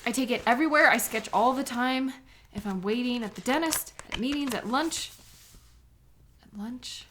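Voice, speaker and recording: A woman's voice: a little high, normal speed, fairly bright tone, slight background noise, close to an everyday voice. A woman playing an anxious, agitated woman sketch artist in an emotional audio drama. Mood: alarmed.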